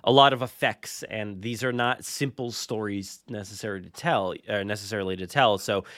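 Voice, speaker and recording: A man's voice: lowish voice, normal speed, fairly bright tone, dry recording, studio quality. A man hosting a podcast, talking casually in a rambling, informal and enthusiastic way. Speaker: podcast host.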